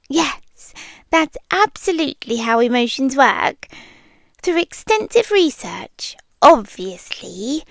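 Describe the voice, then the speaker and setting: Woman playing smitten female under-bed creature, British accent, slow hesitant delivery, soft breathy whispers, tender, dry close-mic ASMR. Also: sits high, no room sound, exaggerated voice. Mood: gently teasing. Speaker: ASMR roleplay performer. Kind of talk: ASMR roleplay, intimate monologue. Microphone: close microphone, dry.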